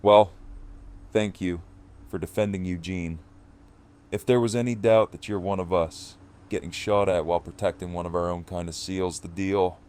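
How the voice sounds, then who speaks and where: A man acts out a commanding, protective leader of survivors in an audio drama. The voice is low, smooth and resonant. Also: steady tone, normal speed, a little mellow, lightly acted. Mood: steady, concerned.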